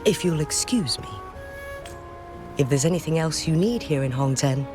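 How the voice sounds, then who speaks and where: An actress playing a courteous, composed town official in a video game, formal and measured. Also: female voice, low-pitched, expressive. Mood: thoughtful, polite. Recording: music playing behind the voice.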